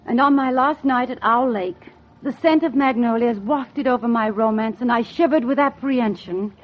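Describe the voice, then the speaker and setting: A woman's voice: on the high side, normal speed, slight background noise, close to an everyday voice. An actress playing a wry, lovelorn single woman, speaking in a dry, genteel, wistful way in a 1940s radio drama. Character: wry, lovelorn single woman on holiday, narrating her hopes. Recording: muffled 1940s radio recording with music.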